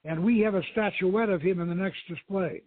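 A man's voice: sits high, a little fast, voice pushed up. A man voicing a polite, eager young female museum guide with a formal, explanatory manner. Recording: tinny band-limited radio stream, roomy echo.

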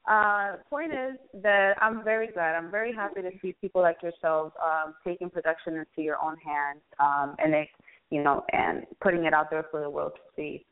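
A woman's voice: lowish voice, steady tone, moderate pace, smooth. A woman hosting an interview in a casual, rambling way, often searching for her words. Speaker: interviewer, radio-style show host. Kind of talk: casual chat. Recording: thin phone-like band-limited sound.

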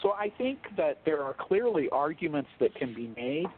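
A man speaking as a guest theologian in an interview, explaining in a measured, thoughtful, scholarly way over a thin phone-like line. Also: on the high side, big pitch swings, a little hiss or hum. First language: English.

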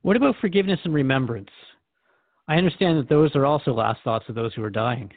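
A man's voice: mid-range voice, expressive, fast, dry recording. Man as earnest radio interviewer, tinny dry sound. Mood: reflective. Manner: reflective, conversational, hesitant at times. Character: earnest, thoughtful male radio interviewer questioning a spirit.

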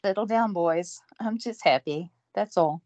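A woman's voice: on the low side, unhurried, a little mellow, dry recording, highs cut off, close to an everyday voice. A woman playing a wry, independent young woman. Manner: dry and wry.

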